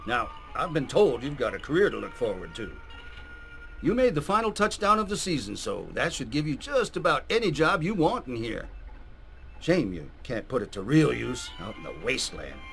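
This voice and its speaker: Guy doing a gruff american vault commander for a game, big patriotic speechy talk, music playing under it. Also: medium pitch, very animated, normal speed, fairly bright tone. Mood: approving, gruff, familiar. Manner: bombastic, drill-sergeant speechifying. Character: gruff, gung-ho vault military coach, patriotic man. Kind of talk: scripted video game character acting. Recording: music behind the voice.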